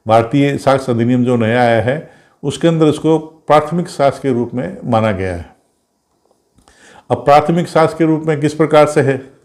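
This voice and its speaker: A man's voice: medium pitch, a little mellow. A man giving an informal explanatory lecture as a law teacher, didactic and repetitive, posing questions and answering them.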